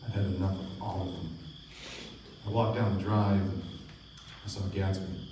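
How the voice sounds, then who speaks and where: A man's voice: low-pitched, steady tone, moderate pace, natural voice. A man playing a sober, reflective narrator in a radio play, recorded at a distance with strong echo and faint noise. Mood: disgusted, terse. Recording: echoey, noisy stage recording from far off.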